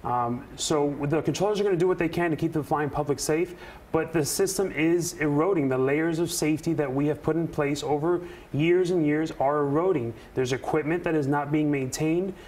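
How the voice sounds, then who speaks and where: A man speaking as a union representative in a news interview, earnest and emphatic, with deliberate phrasing. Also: on the high side, darkish tone. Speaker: air traffic controller and union representative, interview guest. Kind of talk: live news interview answers.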